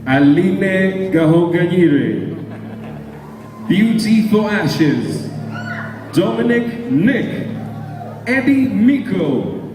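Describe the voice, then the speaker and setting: A man shouting out lists of nominees as an announcer in a booming hall, with music and crowd behind. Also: very animated, extremely slow, long pauses, far from the mic.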